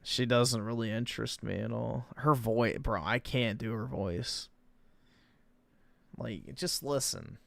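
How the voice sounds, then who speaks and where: A man streaming and reacting to clips, talking in a blunt, crude, sarcastic and deadpan way. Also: mid-range voice, takes it slowly, gravelly. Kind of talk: casual stream commentary.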